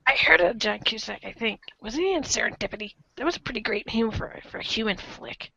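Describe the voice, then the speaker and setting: A woman's voice: on the high side, lively intonation, a little fast, creaky, pushed and bright, slightly rough, dry recording, full character voice, poor audio. A woman voicing a brash, exasperated, foul-mouthed alien troll in amateur readers' theater. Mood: grudging. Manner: exasperated, insulting.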